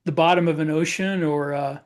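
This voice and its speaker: A man with a slightly high voice chats casually as a podcast co-host. He works through his stories haltingly, backing up to correct details. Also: fairly even intonation. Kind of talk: casual panel chat.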